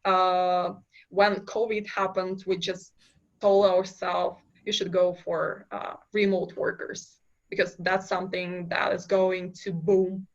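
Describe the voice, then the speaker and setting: A woman's voice: on the low side, flat delivery, slow, dull audio. A young woman from Kazakhstan, a startup founder answering interview questions in a hesitant way with many fillers and restarts. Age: young adult. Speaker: startup founder, podcast guest.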